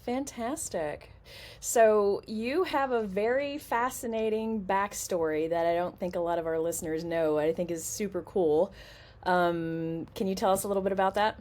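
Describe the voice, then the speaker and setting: A woman's voice: medium pitch, moderate pace, darkish tone. A woman hosting a podcast interview, introducing her guest with enthusiasm. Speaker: podcast host.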